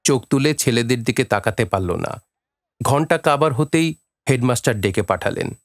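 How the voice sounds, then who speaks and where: A man narrating a literary story for an audio drama in a measured, dramatic way. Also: medium pitch, expressive, no echo, clean studio sound. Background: faint music.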